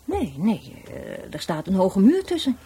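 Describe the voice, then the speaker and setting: A woman's voice: mid-range voice, big pitch swings, measured pace, background noise. An actress playing a blunt, cynical woman in a radio drama, with a dry, nonchalant way of talking. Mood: dismissive. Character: blunt, offhand, cynical sister of a murder victim.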